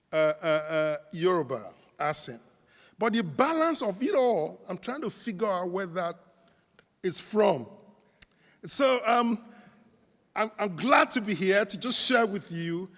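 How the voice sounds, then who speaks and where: A man's voice: high-pitched, fairly bright tone. A middle-aged man with a Nigerian accent with some London in it, a Baptist minister giving a conference talk, with many fillers and restarts. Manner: many fillers, repeats, quotes scripture. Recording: reverberant hall.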